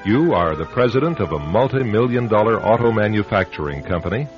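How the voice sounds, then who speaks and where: Low-pitched male radio narrator, dramatic swings. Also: animated, brisk. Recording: dull lo-fi radio recording. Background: faint music.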